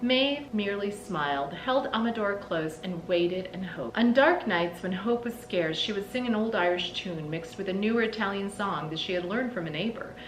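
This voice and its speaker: A middle-aged woman reading a storybook aloud expressively, with deliberate phrasing and dramatic emphasis. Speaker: read-aloud host. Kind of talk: storybook read-aloud narration. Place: indoors in a small room lined with bookshelves. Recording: roomy echo, clear background rumble.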